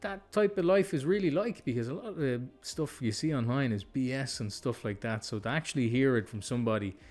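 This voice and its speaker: A man's voice: medium pitch, brisk, a little mellow. A man hosting a podcast, chatting casually and putting his points in an informal, rambling way. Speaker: podcast host. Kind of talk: casual interview chat.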